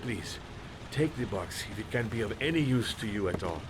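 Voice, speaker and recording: An actor playing a grieving shopkeeper and father who speaks in a formal, halting, earnest way. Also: on the low side, expressive, heavy background noise, lightly acted. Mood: sorrowful earnest. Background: game music.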